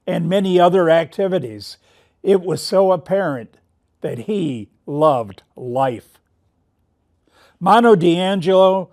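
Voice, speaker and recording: A man hosting a poetry tribute, speaking in measured, halting phrases as he delivers a memorial. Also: fairly high pitch, darkish tone.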